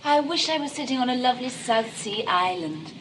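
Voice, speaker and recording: A pert young woman teasing her family in a period radio comedy. Her voice is rather high, arch and animated, over faint noise. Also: brisk. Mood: breezy, dry. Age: young adult. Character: pert, dry-witted grown daughter.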